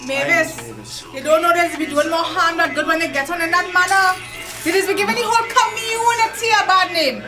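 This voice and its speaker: An actress playing Miss Pam, a cautious Trinidadian woman who urges her neighbours to stay calm. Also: very high voice, a little fast. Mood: pleading. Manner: pleading, counselling restraint. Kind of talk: radio drama acting. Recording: loud music behind the voice.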